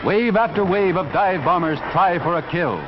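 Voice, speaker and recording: A man's voice: sits high, animated, moderate pace, loud music behind the voice. An American man reading scripted 1940s newsreel narration in a declamatory announcer style. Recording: dull 1940s newsreel soundtrack.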